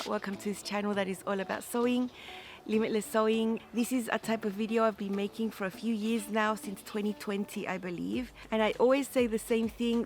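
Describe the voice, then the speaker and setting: A woman in her forties chatting casually and enthusiastically as the host of a sewing vlog. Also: mid-range voice. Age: 40s. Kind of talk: casual chat to camera.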